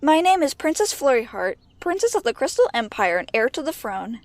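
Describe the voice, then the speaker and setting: A high, animated pony princess voice acted by a woman for an audio drama. She is polite and earnest, and the recording is close and dry. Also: a little fast, no room sound. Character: kindly pony princess mentor and teenage alicorn princess.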